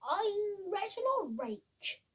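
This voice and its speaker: A young reader as pompous boastful male scarecrow, high-pitched. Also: sing-song, very slow, fairly bright tone, far from the mic, full character voice. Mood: breezy, flattering. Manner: pompous, bragging, emphatic. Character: boastful, dashing male scarecrow, smooth-talking rival suitor.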